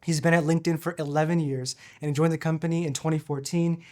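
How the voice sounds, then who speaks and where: A man's voice: on the high side, little pitch movement, fast, darkish tone. A young man hosting a podcast, reading an upbeat introduction and asking questions in an effusive way. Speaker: podcast host. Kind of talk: scripted intro and interview questions. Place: studio in an office.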